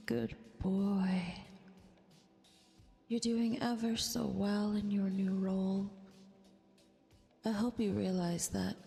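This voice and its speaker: A woman's voice: mid-range voice, creaky, darkish tone. A woman voicing a vain, possessive eldritch empress in an ASMR roleplay, with formal, condescending, intimate delivery. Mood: doting, condescending. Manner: imperious, condescending, formal diction, drawn-out delivery. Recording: long reverb, music behind. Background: music.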